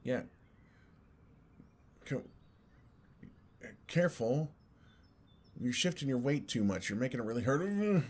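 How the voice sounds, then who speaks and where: A young man playing an exasperated, earnest and oblivious best friend in an intimate audio roleplay, speaking in a halting, conversational way. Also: mid-range voice, very animated. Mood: strained, concerned.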